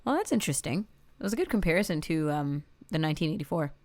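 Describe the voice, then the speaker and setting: A woman's voice: low voice, lively intonation, fast, a little mellow, no room sound. A woman co-hosting a casual review chat, informal and inquisitive, thinking aloud as she goes. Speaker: audiobook review show co-host.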